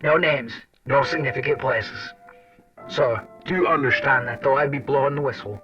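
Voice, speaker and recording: A man's voice: medium pitch, moderate pace, natural voice. Male actor, wry secret agent, foul-mouthed, conversational audio drama narration, distant muffled sound, music behind. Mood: wry, confiding. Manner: sardonic, conversational, addresses the listener directly. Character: wry, foul-mouthed intelligence agent recounting a confidential journal. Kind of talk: first-person audio drama narration and dialogue. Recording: dull lo-fi old-radio sound under music.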